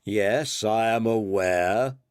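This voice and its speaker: Man voicing a gruff, low, curt old boss. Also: slow, darkish tone, no room sound, studio quality. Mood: stern, dry. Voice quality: low, grumbling. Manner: terse, stern, grunting.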